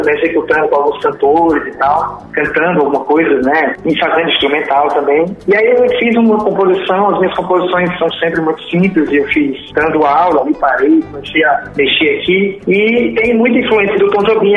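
A man talks as a guest musician, relaxed and rambling with filler tags. His voice sits fairly high for a man. The recording is roomy and a bit dull, like a radio studio. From Northeast Brazil. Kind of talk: radio interview answers.

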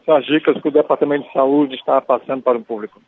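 A man's voice: medium pitch, steady tone, very fast. A man with a Brazilian Portuguese accent reporting live from the scene and interviewing a nurse. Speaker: radio field reporter. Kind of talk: live radio field report. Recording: tinny phone-line sound.

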